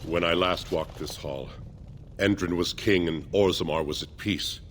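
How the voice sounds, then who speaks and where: An actor playing a dignified older male dwarf archivist in a fantasy video game, speaking gravely and formally. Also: low voice, fairly even intonation, unhurried, slight background noise. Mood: solemn. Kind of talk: video game character acting.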